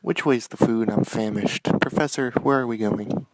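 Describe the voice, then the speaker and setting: A man's voice: mid-range voice, moderate pace, close to an everyday voice. A man playing a blunt, sarcastic tough guy in a noir radio drama, on a noisy microphone. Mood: blunt, impatient. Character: brash, sarcastic male tough guy, a bragging noir party guest.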